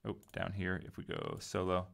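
A man giving a casual software tutorial, informally thinking aloud as he goes. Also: low voice, little pitch movement, normal speed, creaky, noisy background. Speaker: tutorial host.

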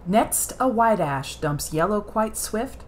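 A woman narrating a children's picture book in an expressive, rhythmic read-aloud style. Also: medium pitch, takes it slowly, a little hiss or hum. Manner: rhythmic rhyming delivery, expressive. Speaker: storybook narrator.

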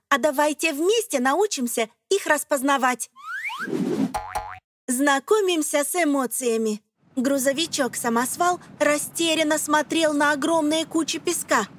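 Female narrator, high voice, for educational children's cartoon; simple explanatory scripted delivery, faint background noise. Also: a bit bright.

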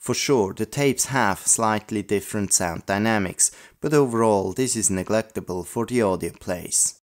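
Guy with a low voice doing a narrated collector video. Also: normal speed. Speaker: video host, audio play collector. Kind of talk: scripted retrospective narration.

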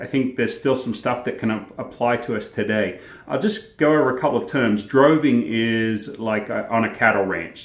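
A man's voice: on the low side, creaky. Older Australian man, echoey room. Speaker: host and storyteller. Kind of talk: poetry recitation and casual talk to camera. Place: small indoor room with plain walls, echoey.

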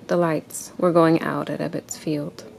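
A woman reading a picture book aloud to a child at home and asking instructive questions in a deliberate way. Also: low voice, flat delivery, vocal fry, darkish tone, slight background noise, low-quality recording. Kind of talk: expressive read-aloud and gentle questioning.

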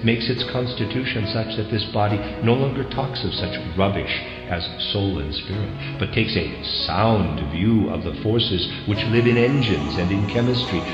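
A man reading a lecture text aloud in a solemn, deliberate manner. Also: on the low side. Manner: deliberate, spells out quotation marks. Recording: dull, echoey hall reverb, music behind.

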